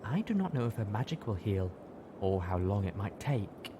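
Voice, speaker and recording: Man playing a measured, poised older mare. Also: lowish voice, very animated, background noise. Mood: cautioning. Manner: careful, measured, slightly snarky.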